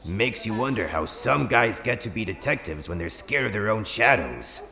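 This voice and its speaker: Man as a gravelly, scornful cop. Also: on the low side, very animated, moderate pace, sharp tone, faint music behind, exaggerated voice. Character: scornful, cocky male crime-scene cop. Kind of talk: comic book dub acting.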